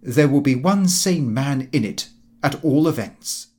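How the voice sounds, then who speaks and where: A man's voice: mid-range voice, animated, measured pace, darkish tone, close to an everyday voice. A man voicing a pompous, easily offended middle-class husband from a comic diary, speaking stiffly and formally. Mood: stiff refusal.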